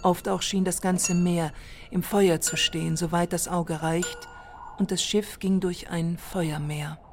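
A woman's voice: fairly low pitch, steady tone, measured pace, background noise. A woman narrating a literary text in a scripted radio play. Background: music.

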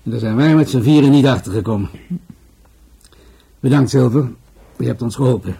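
A pensive detective in a crime radio play. His mid-pitched voice is very breathy-rough and dark, measured and ruminative as he speaks. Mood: summing up. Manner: ruminative, repeats phrases slowly.

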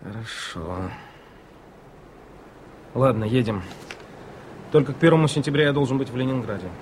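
An actor playing a weary, gentle, decisive doctor in a Soviet radio drama. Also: male voice, mid-range voice, lively intonation, normal speed, slight background noise, natural voice.

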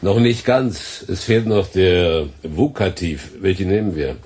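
A relaxed studio colleague in a radio play, casual and wry. His voice is somewhat low and husky. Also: measured pace.

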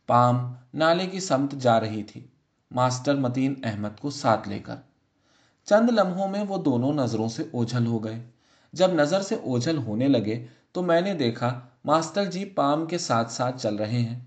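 Man narrating literary fiction, mid-pitched. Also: darkish tone. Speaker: audiobook narrator. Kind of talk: literary short story narration.

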